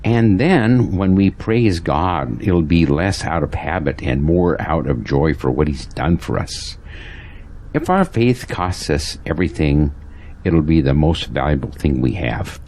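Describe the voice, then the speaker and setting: Very deep-voiced, earnest pastor played by a man. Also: animated, a little slow, a little mellow, slight background noise, exaggerated voice. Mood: earnest, fervent. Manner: earnest, preachy. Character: devout, troubled male pastor, earnest and convicted. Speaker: actor in an audio drama. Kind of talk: earnest audio drama dialogue.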